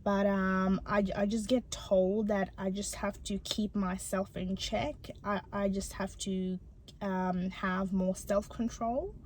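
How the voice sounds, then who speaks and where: A young woman from Bangladesh who stutters, talking personally to her viewers with many pauses and ums. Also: mid-range voice, fairly even intonation, darkish tone. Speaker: channel host. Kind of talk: personal vlog talk to camera.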